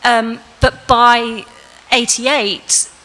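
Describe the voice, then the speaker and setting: A woman giving a measured, lecturing academic talk on a panel in a large public hall. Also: on the high side, fairly even intonation, bright, forceful tone. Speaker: art historian speaker. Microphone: panel microphone. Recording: reverberant hall.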